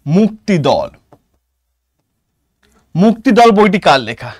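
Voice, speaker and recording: A man's voice: high-pitched, very animated, slow, a little mellow, no room sound, studio quality. A man with a Bengali accent teaching an online exam-prep class, talking informally to students and repeating points emphatically as if dictating.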